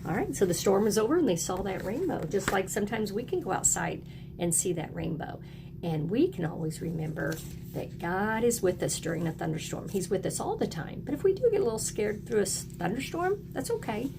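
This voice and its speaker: A woman's voice: mid-range voice, sing-song, a little fast, gravelly, background noise. A woman teaching young children, encouraging them in short, simple phrases as she reads aloud and explains. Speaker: early elementary teacher.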